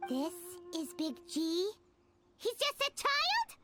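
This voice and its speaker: Casting: a tiny third-person-talking sidekick, very high and animated. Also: female voice, takes it slowly, heavily acted. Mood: puzzled. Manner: speaks of herself in the third person. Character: tiny chirpy female floating companion. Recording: game audio over music.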